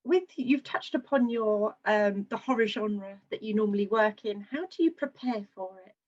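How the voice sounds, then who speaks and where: A woman hosting a podcast interview, asking gentle questions with short encouraging replies. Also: mid-range voice, moderate pace. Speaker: podcast host. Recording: dull lo-fi line.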